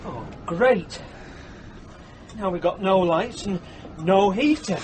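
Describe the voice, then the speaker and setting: A man's voice: high voice, lively intonation, takes it slowly, a little mellow, faint music behind. An actor playing a prickly, snobbish salesman who talks in a peevish, sarcastic way in a radio drama. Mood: complaining. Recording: noisy radio drama recording.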